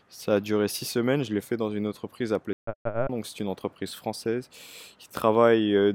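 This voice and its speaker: Young man, Parisian accent, mellow tone, hesitant, many fillers, interview answers. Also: fairly low pitch, clear, resonant tone, no room sound. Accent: Parisian French. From Paris area, France. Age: young adult. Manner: thoughtful, hesitant, frequent fillers. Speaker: interviewee, student.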